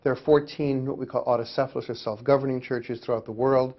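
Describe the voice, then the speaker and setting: A man's voice: medium pitch, steady tone, quick pace. A man giving explanatory answers in an interview. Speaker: interviewee on Orthodox church life. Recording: dull, lo-fi interview audio.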